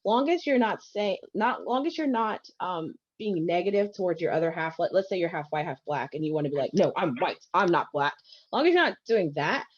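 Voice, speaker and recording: A woman co-hosting a casual discussion, speaking in a measured way with frequent filler sounds. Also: on the low side, expressive. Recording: slightly dull lo-fi audio.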